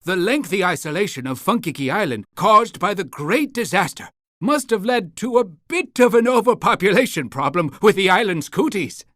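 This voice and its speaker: A man's voice: high-pitched, fairly bright tone, dry recording, studio quality. A man voicing an eager, polite and fussy town-guide creature in a cartoon game, with theatrical announcing and awkward hesitations.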